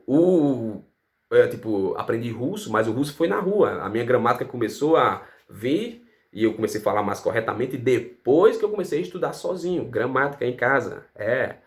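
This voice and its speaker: A Brazilian man talks through a casual online lesson, throwing rhetorical questions at the viewer. He tags his sentences with a quick "tá?" and keeps an informal teaching tone. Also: mid-range voice. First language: Portuguese. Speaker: language teacher.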